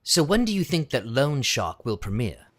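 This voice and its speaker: A man of average pitch asks prepared interview questions. His intonation is lively and moves up and down a good deal. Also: normal speed.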